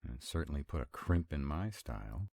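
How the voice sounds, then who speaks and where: Man acting a very deep, sardonic vampire lover. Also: sing-song, moderate pace, gravelly, darkish tone, no echo, full character voice. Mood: exasperated ranting. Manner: sardonic, exasperated, intimate, swooping emphasis. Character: sarcastic, grumbling, affectionate male vampire councilman and lover. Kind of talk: romantic roleplay audio acting.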